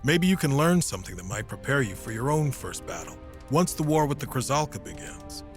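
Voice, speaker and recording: A man playing a knight in an audio drama, with a husky voice. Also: mid-range voice, big pitch swings, fairly quick, music in the background. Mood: reflective. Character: older veteran knight telling stories, also his eager younger self. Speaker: lead character actor.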